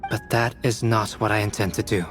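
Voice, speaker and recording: A man voicing a calm, cerebral young alchemist in a fantasy video game, speaking with measured, formal politeness. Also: lowish voice, natural voice. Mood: composed. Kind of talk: dramatic video game voice acting. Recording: music behind the voice.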